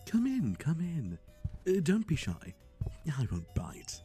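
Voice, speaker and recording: A man's voice: fairly high pitch, huge pitch swings, takes it slowly, over music, exaggerated voice. A man playing a chatty, nervously cheerful, shady matchmaker in an ASMR roleplay, with a theatrical, sardonic delivery and hesitant asides. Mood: eager, welcoming.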